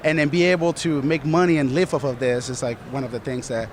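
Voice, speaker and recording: A man's voice: medium pitch, fairly even intonation, quick pace, slight background noise. A man from Colombia who manages an art studio, talking earnestly in a casual interview and often repeating himself. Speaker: studio manager and business partner.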